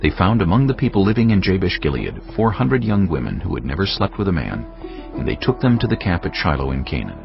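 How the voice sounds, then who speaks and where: A man giving a solemn, measured, dramatic narration of scripture. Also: low voice, big pitch swings, gravelly. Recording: dull lo-fi sound. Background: music.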